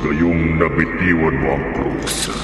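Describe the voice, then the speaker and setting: A man voicing a menacing, gloating demon with a deep, gravelly voice in a horror radio drama. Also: steady tone, takes it slowly, recorded from a distance. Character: menacing male demon who suddenly appears, gloating. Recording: cavernous echo and reverb, music behind.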